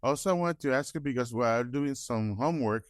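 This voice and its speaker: Male interviewer, medium pitch, casual fan-like style, rambling questions, frequent false starts, dry close recording. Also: fairly quick, no echo.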